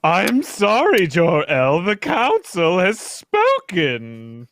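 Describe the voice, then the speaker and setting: A woman playing a stern, formal leader of an alien council in a live radio play reading, with measured pronouncements. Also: on the low side, wildly swooping pitch, slight background noise, heavily acted. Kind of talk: live amateur reading of old radio script.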